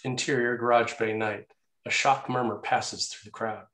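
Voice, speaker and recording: A man's voice: medium pitch, fairly even intonation, normal speed, a bit bright. A man reading scene headings and stage directions as the narrator of a screenplay table read. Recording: roomy, echoey sound.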